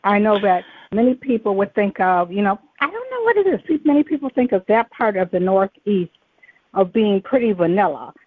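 A woman's voice: medium pitch, big pitch swings, fairly quick, husky, no room sound. A woman interviewing in a conversational, reflective way, wandering through her thoughts before she gets to the question. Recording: muffled narrow phone-like line.

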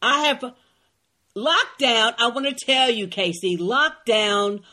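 A woman co-hosts a casual chat with a bright, punchy voice. Her pitch moves around in an animated way. She is effusive and emphatic, often repeating herself to underline a point. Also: slow pace. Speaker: podcast co-host.

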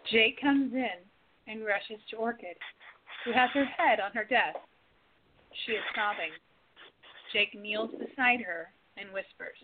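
A woman's voice: fairly high pitch, slow, long pauses. A woman narrating scenes and stage directions for a radio play. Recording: noisy, phone-like sound.

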